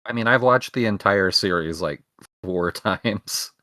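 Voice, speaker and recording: A man's voice: lowish voice, measured pace, pushed and bright, no echo, clean studio sound. A young man co-hosting a podcast, rambling casually with self-mocking jokes. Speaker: podcast co-host. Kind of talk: casual chat.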